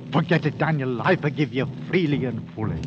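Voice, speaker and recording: An actor playing a loyal, anxious British adventurer with earnest working-class speech, in 1940s radio drama style. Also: male voice, medium pitch, a little fast, close to an everyday voice. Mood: loyal, steadfast. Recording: dull 1940s radio recording, faint music.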